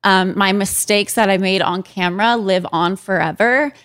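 A woman being interviewed about her own life, speaking candidly and hesitantly, with frequent pauses and ums. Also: mid-range voice, fairly bright tone, clear, resonant tone, no echo, studio quality. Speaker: interview guest.

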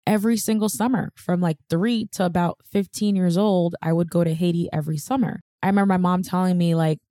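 Low, mellow-voiced woman podcast host. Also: fairly even intonation, a little fast, dry recording, clean studio sound. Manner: candid, chatty, self-deprecating. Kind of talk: personal storytelling monologue.

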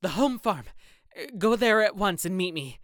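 A man puts on a young gentleman in a panic, voice climbing high and loud. His words tumble out in breathless urgency. The recording is smooth and dry. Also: expressive, a little slow, no echo. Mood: urgent.

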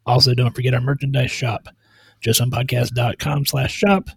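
A man co-hosting a podcast, chatting in a relaxed, friendly way and reading out the show's plugs. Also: mid-range voice, moderate pace, vocal fry, slightly rough, no room sound, studio quality. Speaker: podcast co-host. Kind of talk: casual chat.

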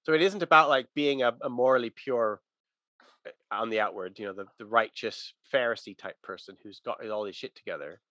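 A man's voice: mid-range voice, brisk, pushed and bright, no echo, highs cut off. A man talking through religious ideas in a casual, conversational but emphatic teaching manner.

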